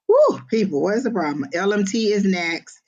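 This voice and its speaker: A psychic medium giving live-streamed readings in a casual, expressive way, often exclaiming and pausing on fillers. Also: mid-range voice, muffled recording.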